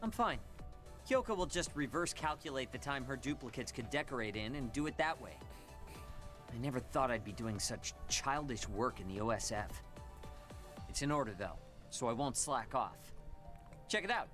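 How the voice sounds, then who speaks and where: An actor voicing a prickly, proud young soldier in a video game, curt, defensive and grumbling. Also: male voice, mid-range voice, animated, moderate pace, music in the background, close to an everyday voice. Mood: grudging, terse. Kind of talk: video game dub acting.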